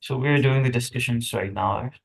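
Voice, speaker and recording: A man's voice: mid-range voice, fairly quick, vocal fry. A young man from Nepal with a Nepali accent, chatting casually and often searching for words. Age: young adult. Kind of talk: casual chat.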